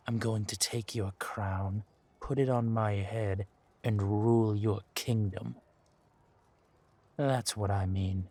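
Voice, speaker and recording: A man playing a ruthless, mocking warrior villain in a fantasy audio drama, with a husky voice and a deliberate, taunting delivery. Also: on the low side, a little mellow, no room sound, exaggerated voice. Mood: mocking threat.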